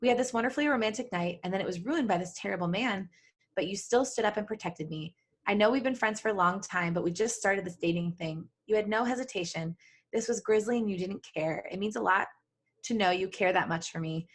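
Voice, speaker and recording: A woman's voice: mid-range voice, a little fast, close to an everyday voice. A woman reading the part of an earnest, caring young witch in an amateur story reading. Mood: tearful, tender.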